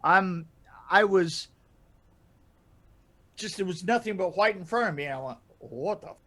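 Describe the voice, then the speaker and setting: A man with a notably high voice tells casual anecdotes on a podcast. He is animated and halting, doubling back on his words and chuckling as he goes. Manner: animated, laughs through his stories, halting starts and repeats. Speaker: podcast storyteller and guest regular. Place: indoor room, video call.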